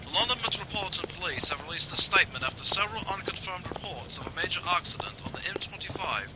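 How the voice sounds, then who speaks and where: A man's voice: high voice, animated, quick pace. A man playing a formal radio newsreader in an amateur radio play, reading a scripted bulletin over noise. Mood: formal, urgent. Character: formal male radio newsreader giving weather warnings.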